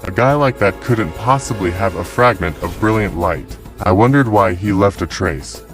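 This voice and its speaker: An actor playing a cold, haughty villainous monarch, measured and imperious, in an anime-style dub. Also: male voice, deep voice, animated, music in the background.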